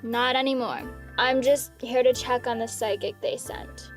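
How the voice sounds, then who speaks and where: A woman performs a sardonic female ghost hunter in an audio drama. Her voice sits somewhat high, and her delivery is blunt, dry and unimpressed. Faint music plays behind her. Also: steady tone, unhurried, close to an everyday voice. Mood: dry, confident. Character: world-weary, independent female ghost and demon hunter, sardonic. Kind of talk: scripted audio drama acting.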